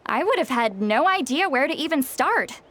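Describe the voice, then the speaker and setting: A woman's voice: high-pitched, sing-song, moderate pace, fairly bright tone, a little hiss or hum. A woman voicing a knowledgeable character who explains ancient lore in a video game, in an explanatory, matter-of-fact way. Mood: explaining.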